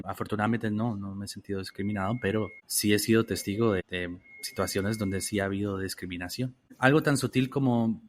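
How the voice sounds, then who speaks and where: A man from Costa Rica answering interview questions in a measured, reflective way, often restarting his phrases. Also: on the low side, a little hiss or hum. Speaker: interview guest.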